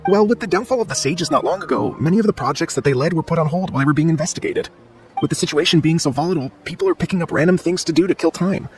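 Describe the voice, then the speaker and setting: A nervous, talkative young researcher with a hesitant manner, voiced by a man for a video game. His voice runs slightly high and quick, with faint music behind it. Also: natural voice. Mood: candid.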